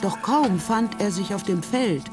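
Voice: medium pitch, expressive, measured pace, lightly acted. A performer playing the storyteller of a mock-heroic knightly tale, narrating a literary radio play with theatrical expression. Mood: storytelling. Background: music.

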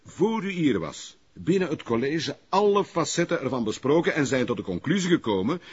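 An actor playing a pompous, evasive middle-aged burgomaster in a TV crime drama, with formal, wordy phrasing and a Flemish Belgian accent. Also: male voice, fairly high pitch, big pitch swings, moderate pace, husky. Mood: placating. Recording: muffled, lo-fi broadcast audio.

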